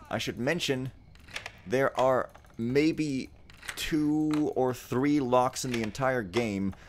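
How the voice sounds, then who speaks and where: A man's voice: fairly low pitch, slight background noise. A young man giving casual, wry, rambling commentary while playing a game. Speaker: let's player.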